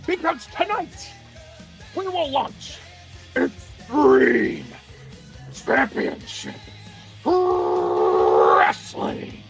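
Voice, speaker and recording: A man acts out a fervent wrestling promoter in an audio play. He speechifies grandly in a lively, creaky voice, with faint music underneath. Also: very high voice, very slow, long pauses, exaggerated voice, poor audio. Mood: grandiose rallying. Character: fervent, defiant male wrestling promoter rallying his crew.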